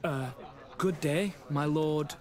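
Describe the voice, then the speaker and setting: A man's voice: fairly high pitch, slow. A man playing a nervous, deferential young recruit soldier in a video game, stammering and hesitant. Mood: flustered. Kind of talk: video game cutscene acting.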